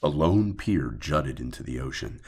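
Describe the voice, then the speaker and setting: A man narrating a dark audiobook tale in a gravelly, raspy voice, brooding and measured, with deliberate pauses. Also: extremely low pitch, animated. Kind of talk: dramatic scripted horror narration.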